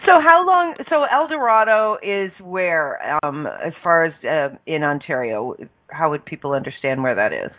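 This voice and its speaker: A woman's voice: low-pitched, sing-song, normal speed, few pauses. A woman hosting a casual interview, effusive and gushing, who laughs often. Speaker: podcast host. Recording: tinny phone line.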